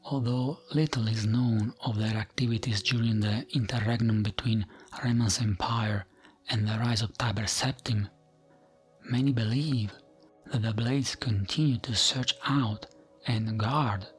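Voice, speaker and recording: Slow, soft-spoken man reading ASMR lore. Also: fairly low pitch. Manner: gentle, soothing, measured with frequent pauses. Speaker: narrator of gaming lore videos. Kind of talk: ASMR bedtime reading of game lore, scripted narration. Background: background music.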